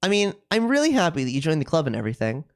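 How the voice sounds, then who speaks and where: A woman's voice: low-pitched, very animated, very fast, darkish tone. A woman voicing a sweet, gushing teenage girl club president from a visual novel game, with an eerie devotion underneath. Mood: bubbly.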